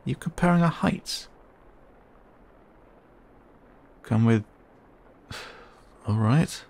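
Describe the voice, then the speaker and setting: A man voicing a cheeky, skittish young raccoon boy in an audio roleplay, muttering and grumbling with halting, sarcastic protests. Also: medium pitch, creaky. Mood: flustered.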